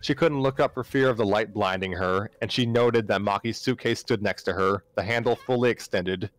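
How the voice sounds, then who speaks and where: A man's voice: on the low side, normal speed, poor audio. A man narrating a story live on a stream, often stumbling and repeating phrases as he reads aloud. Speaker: narrator, livestream reader. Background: background music.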